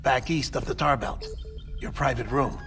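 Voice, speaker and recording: An actor playing an earnest, concerned colleague in a cinematic video game, with a measured, explanatory, reassuring way of talking. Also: male voice, mid-range voice, steady tone, vocal fry, fairly bright tone, soft background music. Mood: grave, informative. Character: earnest, concerned male colleague briefing a comrade.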